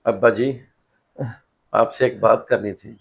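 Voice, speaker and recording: A man's voice: lowish voice, steady tone, takes it slowly, creaky. A man playing a dutiful, anxious and deferential elder son in a moral short drama. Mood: hesitant, persuading. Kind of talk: Urdu moral short-film acting.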